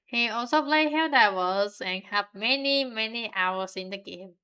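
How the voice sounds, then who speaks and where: A young woman from Vietnam with a Vietnamese accent, chatting to viewers in a relaxed, giggly way while she draws on stream. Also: fairly high pitch, measured pace, clean tone. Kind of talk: casual stream chat while drawing.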